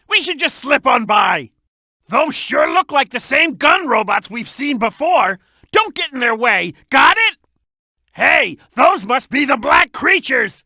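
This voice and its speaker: A woman voicing an excitable, cheeky little boy animal sidekick in a video game, with a childlike voice and eager, emphatic delivery. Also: sits high, no room sound, studio quality, exaggerated voice. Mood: cheeky, alert. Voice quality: youthful, childlike. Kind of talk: video game character hint lines.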